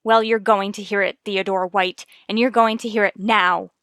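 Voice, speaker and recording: A woman's voice: medium pitch, fairly even intonation, normal speed, fairly bright tone, natural voice. An actress playing an earnest, anxious but determined wife and mother in a 1950s radio soap, formal and firm. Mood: firm, defiant.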